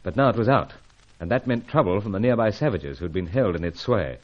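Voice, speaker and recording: An actor playing a suave, steady secret agent in an old radio adventure serial, speaking in a calm, gallant, clipped manner. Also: male voice, on the low side, fairly quick. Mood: grave narrating. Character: suave, steady British secret agent hero, adult man. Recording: dull, lo-fi old radio recording.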